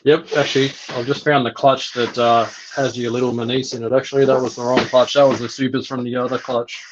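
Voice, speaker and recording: A man's voice: medium pitch, flat delivery, a little fast, background noise. Man chatting casually, slightly muffled sound. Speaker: reptile breeder. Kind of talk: casual unscripted chat.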